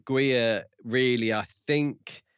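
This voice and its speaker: A man co-hosting a podcast, thinking aloud in a measured, tentative way during a casual book discussion. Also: mid-range voice, fairly even intonation, fairly bright tone, clean tone, no room sound, clean studio sound. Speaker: podcast co-host reviewing books.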